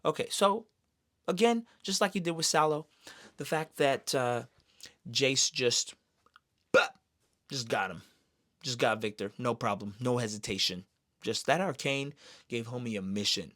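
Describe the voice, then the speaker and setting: Expressive, slangy guy vlogging. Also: mid-range voice, unhurried, long pauses. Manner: slangy, expressive, with exclamations and sound effects. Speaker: streamer reviewing an animated series. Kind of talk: casual excited fan commentary.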